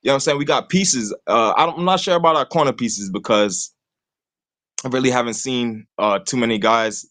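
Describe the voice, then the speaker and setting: A man's voice: lowish voice, a bit bright. A man, talking sports casually and rambling, leaning on "you know what I'm saying" all the time. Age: 20s. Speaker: call-in guest host.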